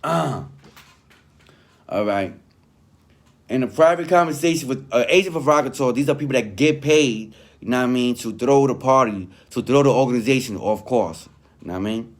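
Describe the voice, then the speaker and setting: A man with a mid-pitched voice reads aloud and breaks off to comment in his own words. His delivery is informal and full of street slang, with frequent little check-in phrases aimed at the listener. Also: moderate pace. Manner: street-slang, conversational, peppered with "you know what I mean". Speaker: online commentator reviewing a written statement.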